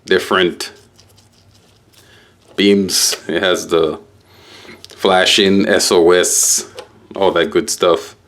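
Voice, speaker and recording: A man giving a casual, halting unboxing walkthrough as a product reviewer, explaining things piece by piece. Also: sits low, level tone, a bit bright.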